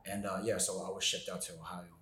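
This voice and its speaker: A man's voice: deep voice, flat delivery, fast, echoey room, crowd noise. A man telling his story in casual podcast chat, rambling with lots of "you know what I'm saying". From born in Argentina, raised in Maryland. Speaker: guest artist.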